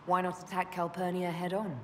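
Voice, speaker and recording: A woman playing a brisk, pragmatic adventurer leader in a fantasy video game, direct and wry. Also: on the low side, completely flat delivery, a little hiss or hum, close to an everyday voice. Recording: reverberant hall, music behind. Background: music.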